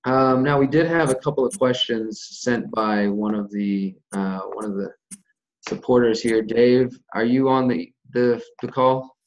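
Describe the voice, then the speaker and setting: A man's voice: medium pitch, measured pace, a little hiss or hum. An American man hosting a group conference call, explaining things informally and step by step in a conversational way. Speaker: host of a community organizing conference call. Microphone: conference call audio. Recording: dull, lo-fi conference call audio.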